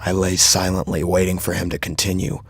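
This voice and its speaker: A man narrating a first-person horror story dramatically, with a grave, intense delivery and a husky, gravelly voice. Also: low voice, monotone, brisk, no room sound, studio quality.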